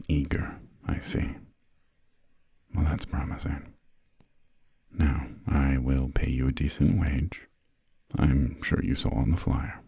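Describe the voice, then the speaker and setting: A man playing an imperious, centuries-old vampire lord in an ASMR roleplay, courteous but condescending, with formal, measured, soft speech and a breathy, gravelly voice close to the microphone. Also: rumbling low, no echo, heavily acted. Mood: businesslike. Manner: slow, measured, formal, haughty, drawn-out pauses. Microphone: close, dry studio microphone.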